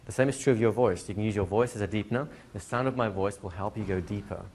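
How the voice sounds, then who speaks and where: A man teaching a hypnotherapy class to a live group, explaining plainly and slipping into slow, soothing hypnotic patter for demonstrations. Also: lowish voice, darkish tone, a little hiss or hum. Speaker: hypnosis trainer. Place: training room with a live audience.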